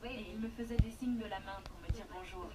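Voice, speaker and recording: A woman with a breathy voice recounting her story in a subdued documentary voice-over. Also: fairly high pitch, fairly even intonation, a little fast, crowd noise.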